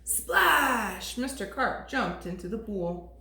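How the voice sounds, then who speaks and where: A woman teacher reading a storybook aloud to toddlers in an expressive, sing-song way. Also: mid-range voice, slow, gravelly. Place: classroom. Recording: echoey room.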